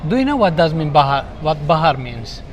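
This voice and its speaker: A man interviewing in halting, repeating yeah a lot and asking casual questions. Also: on the high side, sing-song. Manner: broken English, many repeated yeahs.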